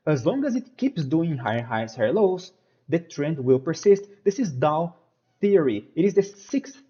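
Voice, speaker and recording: A man's voice: on the high side, big pitch swings, normal speed, dark tone, dull audio. A man from Brazil with a Brazilian Portuguese accent, walking viewers through a stock chart analysis and repeating his points with emphasis. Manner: emphatic, repeats phrases, addresses viewers as guys. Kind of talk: technical analysis walkthrough over a chart.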